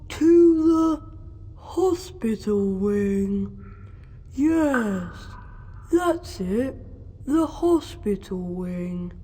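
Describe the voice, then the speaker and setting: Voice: sits high, warm tone, heavily acted. An actor voicing an earnest English boy wizard of about twelve in a video game cutscene. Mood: nervous, hesitant.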